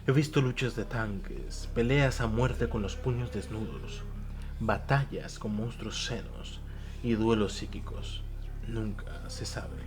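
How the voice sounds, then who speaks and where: A man voicing a languid, knowing warrior champion who has seen many arenas, measured and ominous, in an audio drama. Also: on the low side, background noise. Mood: grimly knowing.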